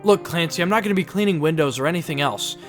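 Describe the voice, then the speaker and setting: A man's voice: a little high, fast, fairly bright tone, soft background music, voice pushed up, natural voice. A man voicing an earnest, troubled but determined young male hero in a dramatized story. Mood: firm.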